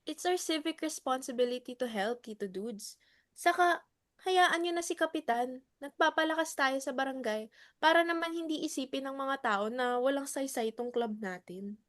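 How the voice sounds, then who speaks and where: A high-pitched Filipino woman's voice as an actress plays her, that of a motherly club leader in an audio drama. She is brisk and civic-minded, with a teasing streak. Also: fairly bright tone. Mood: earnest, organizing. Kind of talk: dramatic reading, Tagalog-English dialogue.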